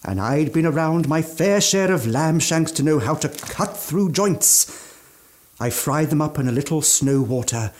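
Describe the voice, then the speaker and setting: An actor playing an eccentric, aging gourmand mountaineer with ghoulish relish and fussy, old-fashioned genteel speech in a radio drama. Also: male voice, a little high, darkish tone, a little hiss or hum. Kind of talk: theatrical radio drama monologue.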